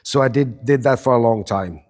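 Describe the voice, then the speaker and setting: A man's voice: medium pitch, moderate pace, darkish tone. A man in his fifties from Finland, a podcast guest chatting casually and telling stories with self-deprecating jokes and some restarts. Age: 50s. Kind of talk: casual chat.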